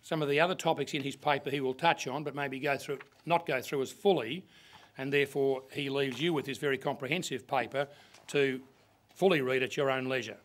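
A man's voice: medium pitch, steady tone, a little fast. An older man with an Australian accent, a clerk giving a practical, plain introduction at a podium. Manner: practical, plain-spoken, with fillers. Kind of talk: seminar introduction. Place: conference room. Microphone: podium microphone.

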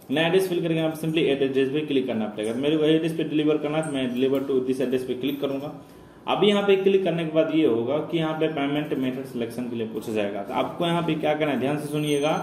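A man's voice: on the high side, darkish tone. A man presenting a screen-recorded tutorial, explaining each step and calling his viewers friends. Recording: echoey room with faint noise.

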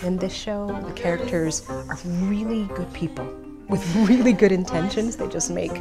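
Low-voiced woman director over loud music. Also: normal speed. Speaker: theatre director. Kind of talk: promotional interview about a play. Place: indoors in a theatre.

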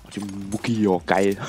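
Young male gaming host with a cold, slightly low voice, loose rambling commentary over faint game music. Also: fairly even intonation, slow, dark tone. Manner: chatty, rambling, muttering to himself while playing. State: has a cold. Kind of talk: casual let's play commentary.

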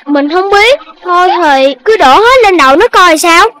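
A woman's voice: very high voice, expressive, normal speed, pushed and bright, a little hiss or hum, exaggerated voice. A woman voicing a mischievous young animal child in a children's cartoon, with a childlike way of talking. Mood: eager. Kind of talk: Vietnamese children's cartoon dubbing.

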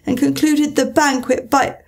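A woman's voice: sits high, measured pace, a little mellow, slightly rough, full character voice. A woman voicing Alice, a polite and puzzled young girl who recites timidly in a trembling voice.

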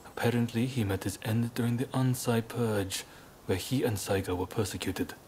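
An actor playing a serious, pragmatic samurai clan leader in a video game cutscene, with a measured, deliberate delivery. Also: male voice, medium pitch, fairly even intonation, a little mellow, slight background noise, natural voice, poor audio. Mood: explanatory.

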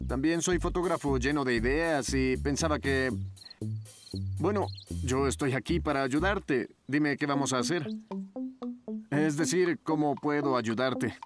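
An eager young male photographer from a cartoon, voiced by a man, speaks at a middling pitch. He is helpful and keen. Music plays behind him. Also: unhurried, close to an everyday voice. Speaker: voice actor in a dubbed cartoon. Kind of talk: animated children's cartoon dub.

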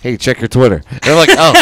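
Podcast dude rambling and cussing, voice goes all over, bit of background noise. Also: male voice, medium pitch, creaky. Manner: rambling, profane. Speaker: podcast co-host. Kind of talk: casual podcast banter. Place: small studio room. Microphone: close podcast mic with headset.

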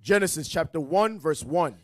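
A man reading scripture aloud in a loud, declamatory, drawn-out delivery. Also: fairly high pitch, expressive. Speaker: scripture reader.

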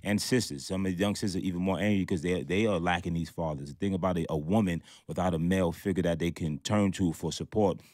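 A man's voice: low-pitched, fast, hardly any pauses, a little mellow, no room sound. A man in his thirties, a guest in a radio studio discussion, speaking earnestly about his past and often repeating words. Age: 30s. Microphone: studio mic.

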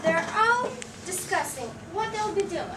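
A woman's voice: very high-pitched, animated, unhurried. A woman narrating a scripted children's story for a puppet show. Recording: echoey, noisy room from far off.

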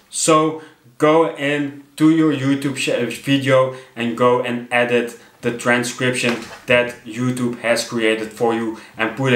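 A man's voice: mid-range voice, fairly bright tone, reverberant. A young man from Belgium with a Belgian accent, hosting a talking-head tutorial from his desk and explaining with many fillers and restarts. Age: young adult. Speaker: channel host. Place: small home office room, indoors at a desk. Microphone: fixed camera at desk distance.

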